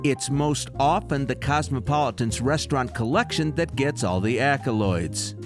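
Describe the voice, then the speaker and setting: A man's voice: medium pitch, normal speed. A man giving scripted, polished voice-over narration in an upbeat manner. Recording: voice-over with guitar music behind.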